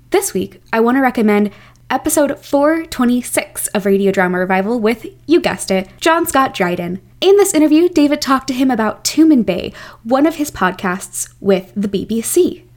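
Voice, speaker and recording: High voiced girl podcast presenter, friendly n whimsical, talking right to the listener. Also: lively intonation, normal speed. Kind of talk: recommendation segment addressed to listeners.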